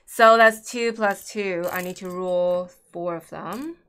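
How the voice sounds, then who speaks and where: A woman's voice: on the low side, slow pace, fairly bright tone, smooth. Woman thinking aloud during a board game. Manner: thinking aloud through her moves. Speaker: board game show co-host and player. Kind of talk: casual live board game playthrough chat. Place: indoor room at a game table.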